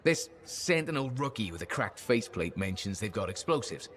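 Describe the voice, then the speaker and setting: A man's voice: fairly low pitch, very animated, moderate pace, a bit bright, slight background noise. A man playing an upbeat, chummy freelancer with a storyteller's manner in a sci-fi video game. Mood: storytelling. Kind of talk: video game dialogue acting.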